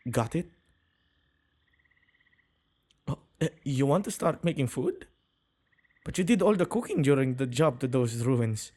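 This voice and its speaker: Man acting a slow-spoken, shy male witch. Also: on the high side, darkish tone. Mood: fond, relenting. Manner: hesitant, halting, stammering. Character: shy, awkward, kind-hearted male witch who tends ghosts. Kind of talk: ASMR boyfriend roleplay, acted monologue.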